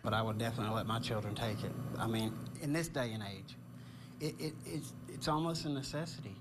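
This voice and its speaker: A man's voice: lowish voice, a little fast. An American man, a parent, giving a casual unscripted opinion in a news interview. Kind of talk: casual interview sound bite. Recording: clear background noise on location.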